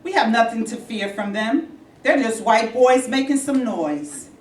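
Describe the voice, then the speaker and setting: A woman's voice: a little high, animated, a little slow. A woman playing a defiant, demanding movement activist in a staged play reading, with a challenging manner. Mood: insistent. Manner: insistent, challenging. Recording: echoey room, distant mic, background noise.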